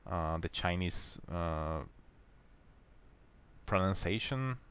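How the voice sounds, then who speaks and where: A man with a Hungarian accent, a native Hungarian speaker, calmly walking viewers step by step through a software fix in a screen recording. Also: low voice, clean tone. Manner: unhurried, plain, step-by-step explaining. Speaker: software tutorial maker. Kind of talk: screen-recorded how-to walkthrough.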